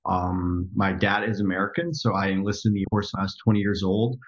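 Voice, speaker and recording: A man in his thirties from the Netherlands, a podcast guest telling his story in a reflective, halting way with many restarts. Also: low-pitched, lo-fi sound. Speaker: podcast guest, software developer. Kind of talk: casual interview storytelling.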